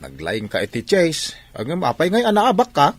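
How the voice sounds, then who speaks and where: Man acting an animated, chiding father. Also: a little high, normal speed, dry recording. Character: father gently lecturing his young son. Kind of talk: Filipino radio-style drama acting.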